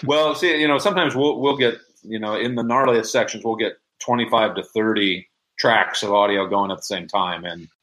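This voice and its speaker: A man with a somewhat low voice talks casually as a guest in an interview. He thinks out loud as he goes, with a folksy, conversational turn of phrase. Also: moderate pace, hardly any pauses, low-quality recording. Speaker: interview guest, audio drama producer.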